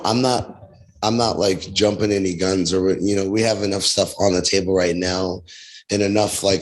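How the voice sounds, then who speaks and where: A man with a raspy, hoarse voice, chatting casually as a guest in a music studio, laid-back and rambling with many fillers. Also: deep voice, low-quality recording. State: hoarse, losing his voice. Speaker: guest, venue crew member. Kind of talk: casual chat.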